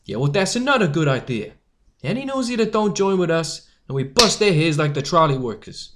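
A man's voice: a little high, sing-song, fairly quick, noisy background. A man playing a defiant, streetwise young newsboy leader with slangy, commanding speech in an audio drama.

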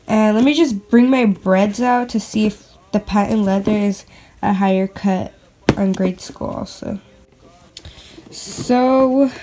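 A woman giving a casual, unscripted product review in a hesitant, halting, informal way, recorded on a handheld device in a bedroom. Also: medium pitch, warm tone, low-quality recording. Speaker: sneaker reviewer. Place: bedroom at home. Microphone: handheld phone or camera. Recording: clicks and light background noise.

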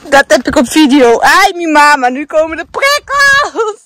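A woman's voice: high-pitched, sing-song, a little slow, sharp tone. A young woman chatting casually while hiking a dry scrubland trail, filming herself on a handheld phone. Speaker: vlogger. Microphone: handheld phone camera.

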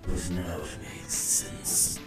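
A man performs a weary, solemn monster scientist for a comic dub. The very deep voice is formal and measured. It sounds distant and drenched in echo, with loud music. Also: flat delivery. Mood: solemn, questioning. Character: grave, weary, guilt-ridden monster scientist. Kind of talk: dramatic comic dub acting.

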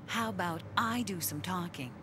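A woman's voice: on the low side, moderate pace, noisy background, close to an everyday voice. A woman voicing a brash, teasing, bossy android woman in an English anime dub, with a sassy, blunt delivery.

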